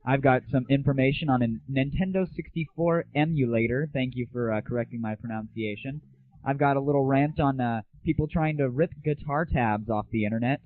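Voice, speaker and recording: A man's voice: mid-range voice, a little fast, no echo, phone-quality sound. Man casually hosting an audio show. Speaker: host of an internet audio show.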